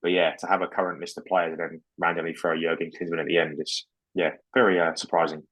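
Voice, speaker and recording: A man co-hosting a podcast, talking in a relaxed, hesitant way with many ums and you-knows. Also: deep voice, quick pace, low-quality recording. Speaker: podcast co-host. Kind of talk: casual chat about trading cards.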